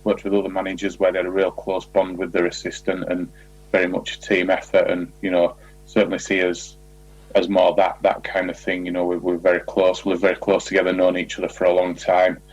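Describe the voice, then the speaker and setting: A man speaking as a football manager in an interview, giving measured, explanatory answers in a conversational way. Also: sits low, completely flat delivery, lo-fi sound.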